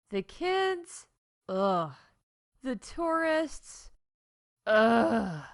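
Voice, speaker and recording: A woman playing a mock-salesy sponsor announcer in a parody commercial, with drawn-out emphasis and deadpan punchlines. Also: mid-range voice. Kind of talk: parody commercial read, scripted.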